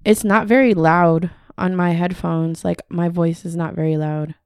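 A woman guesting on a podcast, chatty and loud-voiced, recording her intro plug in a studio. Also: fairly low pitch, steady tone, measured pace, clean tone, no room sound. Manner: chatty, self-described loud voice. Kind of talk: casual chat and recorded intro plugs.

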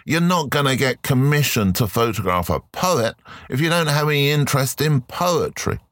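Male podcast host, voice deepened by illness, lively pitch, dry close sound, reflective and measured. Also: dry recording. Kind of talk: solo podcast monologue.

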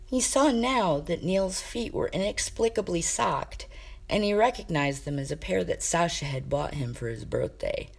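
A woman reading a comic story aloud as an expressive amateur narrator, with wry, dramatic emphasis. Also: lowish voice, moderate pace, gravelly, slightly rough. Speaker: amateur fiction reader.

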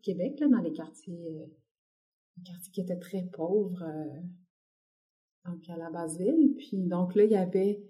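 A middle-aged woman from Quebec with a Québécois accent, talking casually as an interview guest and pausing often on fillers. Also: on the low side, warm tone. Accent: Québécois French. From Quebec, Canada. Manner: hesitant, many fillers. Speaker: guest artist.

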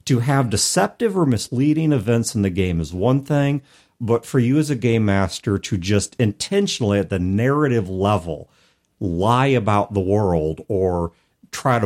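A man hosting a talk show about tabletop gaming, explaining things in an articulate, conversational way with measured, emphatic phrasing. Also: on the low side, a little mellow. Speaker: podcast host. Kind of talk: conversational advice on tabletop game mastering.

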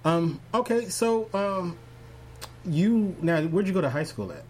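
A man's voice: high voice, lively intonation, a little slow, darkish tone. A man hosting an online interview show, asking questions in a hesitant way full of fillers. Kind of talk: casual interview. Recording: faint hum under the voice.

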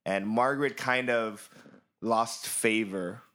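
Male podcast co-host, animated casual talker. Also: on the low side. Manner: animated, quick bursts, many fillers. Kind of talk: casual chat.

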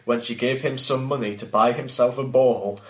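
Male author-reader, mid pitch, reading his own fiction with asides and banter; roomy, echoing sound. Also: steady tone, moderate pace. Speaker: podcast host and author reading own work.

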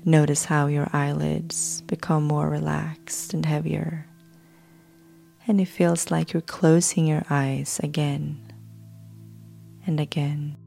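A woman podcast host narrating a guided sleep hypnosis in a soft, soothing way, with breathy phrase endings. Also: low voice, flat delivery, slow pace. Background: background music.

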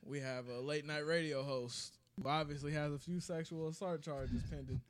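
A man's voice: on the high side, steady tone, normal speed, dry recording. Light-voiced joking man. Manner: joking, teasing, profane. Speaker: podcast host. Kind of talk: casual podcast banter.

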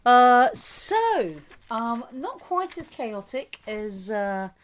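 A woman's voice: on the high side, sing-song, drawn-out words. A woman co-hosting a casual tennis podcast, chatty and self-mocking, with frequent fillers and restarts. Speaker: podcast co-host.

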